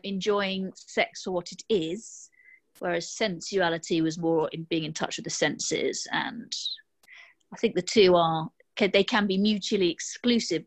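Thoughtful woman in her forties from London. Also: on the low side. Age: 40s. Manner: thoughtful, hesitant, many "um"s. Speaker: panel guest. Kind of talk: casual conversation.

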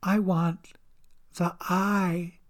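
A man's voice: sits high, flat delivery, drawn-out words, voice pushed up. A man voicing a monstrous one-eyed female water hag in a storybook, speaking with a mock-sweet, taunting menace. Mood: cold demanding. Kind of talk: storybook character voice.

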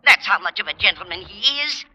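Forceful, scolding landlady. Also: medium pitch, animated, brisk, slightly rough, full character voice, poor audio. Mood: indignant. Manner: blunt, scolding. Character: scolding, indignant, feisty older landlady. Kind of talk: 1950s radio situation comedy acting.

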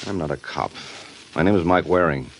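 Deep-voiced, wisecracking radio private eye. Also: male voice, fairly quick. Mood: cool, probing. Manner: wisecracking, coolly unruffled. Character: suave, wry, hard-boiled male private detective. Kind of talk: old-time radio detective drama acting. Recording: noisy vintage radio recording.

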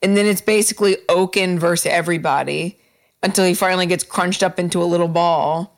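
A woman co-hosting a podcast in casual chat, retelling scenes in an eager, animated way. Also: on the low side, fairly quick. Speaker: podcast co-host.

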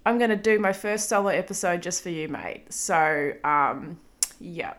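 A woman's voice: lowish voice, a little slow, fairly bright tone. A woman in her thirties with a New Zealand accent, hosting a solo podcast episode in a chatty, self-deprecating way with frequent ums and small laughs. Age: 30s. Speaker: podcast host.

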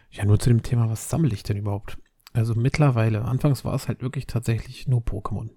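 A man's voice: mid-range voice, brisk, pauses often. A man, chatting casually and rambling on a solo podcast while he has a cold. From Berlin, Germany. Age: 30s. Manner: relaxed, rambling, many fillers and restarts. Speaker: podcast host.

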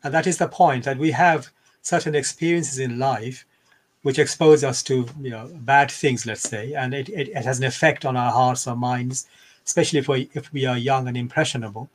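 An older man from Bangladesh, speaking as a scholarly interview guest in a measured, reflective, explanatory way. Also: mid-range voice, fairly even intonation.

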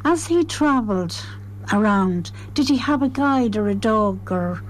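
A woman's voice: mid-range voice, animated, measured pace, background noise. A woman from Ireland hosting a radio arts show, asking questions in a friendly, conversational way. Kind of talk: casual radio interview.

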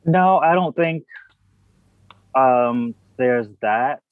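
A man's voice: mid-range voice, drawn-out words, no echo, narrow, tinny audio. Reflective man, dry close sound. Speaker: interview guest. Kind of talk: candid conversational interview.

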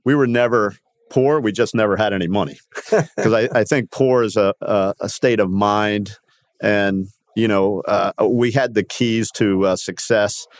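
A man in his sixties from Miami, born in Cuba, telling his life story as an interview guest in a halting, self-correcting way. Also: lowish voice, no echo. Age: 60s. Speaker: podcast guest, company founder.

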